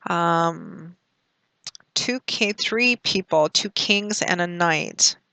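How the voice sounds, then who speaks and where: Bright, clear-voiced woman reading tarot. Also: lowish voice, drawn-out words, no echo. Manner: thoughtful, meandering explanations with frequent fillers. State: recovering from a cold, slightly raspy. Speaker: tarot reader.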